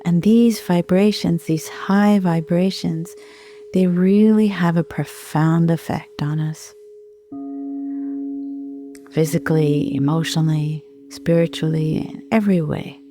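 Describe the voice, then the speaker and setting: A middle-aged woman leading a guided meditation in a soothing, unhurried manner with gentle pauses. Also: on the low side, fairly even intonation, slight background noise. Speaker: sound healer and meditation guide.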